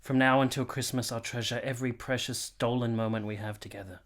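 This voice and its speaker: A man playing a romantic, reflective army captain in a radio play, speaking in a measured, sincere, literary way. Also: mid-range voice, steady tone, natural voice. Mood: soft, tender. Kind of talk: radio play acting, literary first-person narration.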